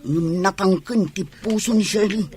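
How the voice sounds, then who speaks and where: An actress playing an outspoken, teasing woman in a radio comedy drama, with an expressive, emphatic delivery. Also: medium pitch, normal speed, natural voice. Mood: teasing, friendly.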